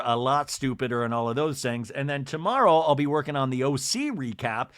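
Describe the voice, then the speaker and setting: A man hosting a solo podcast that recaps reality TV, talking in a lively, rambling, sarcastic way and swearing now and then. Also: medium pitch, no echo, studio quality. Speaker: podcast host.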